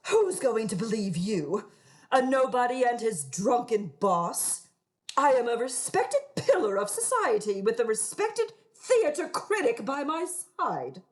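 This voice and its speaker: A scorned ex-wife posing as a respectable lady in a radio melodrama. Her high voice swoops theatrically and draws words out slowly, with haughty venom. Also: sing-song. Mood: scheming. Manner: haughty, drawn-out theatrical delivery. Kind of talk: theatrical radio play acting.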